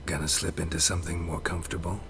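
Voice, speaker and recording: A man playing a dry-witted monster hunter in a fantasy game, gruff and gravelly, with a deadpan, laconic delivery. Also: sits low, quick pace, music in the background, close to an everyday voice. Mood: dryly amused.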